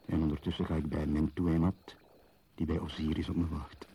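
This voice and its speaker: An actor playing a contemplative archaeologist in a radio play, speaking a husky, measured and solemn literary monologue. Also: male voice, rumbling low, flat delivery, mellow, a little hiss or hum. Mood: pensive, reverent. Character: contemplative male archaeologist tenderly unwrapping an Egyptian mummy.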